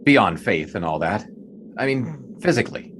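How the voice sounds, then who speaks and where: An actor playing a curious newspaper sportswriter who asks questions in a slightly awkward way. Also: male voice, on the low side, animated, moderate pace, a bit bright, slight background noise. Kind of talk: audio drama acting. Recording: music under the voice.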